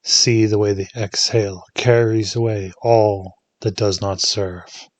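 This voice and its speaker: A man leading a guided meditation in a soothing, hushed manner, leaving long pauses between short phrases. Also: on the low side, steady tone, dry recording.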